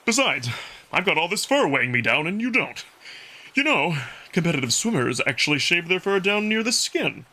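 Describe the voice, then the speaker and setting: A man's voice: high voice, very animated, normal speed, bright, forceful tone, voice pushed up, full character voice. A man playing a playful, competitive young wolf prince in a visual novel, with a teasing, cheerful and self-assured manner. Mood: breathless, smug. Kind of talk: visual novel character acting.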